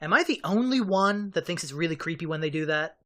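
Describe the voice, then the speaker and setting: A woman performs a sarcastic young man, a tactician in a fantasy audio drama. The voice is lowish and casual, with very expressive swings in pitch over faint music. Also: a little fast, natural voice. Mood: casual, uneasy. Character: wry, easily startled young male tactician.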